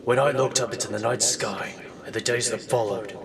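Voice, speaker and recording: An actor playing a grim masked vigilante, speaking low, hushed and deliberate, in noir audio drama narration. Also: male voice, steady tone, far from the mic, a loud crowd, lightly acted. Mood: grim, brooding. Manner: slow, grave, deliberate. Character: brooding young masked male vigilante, grim and resolute.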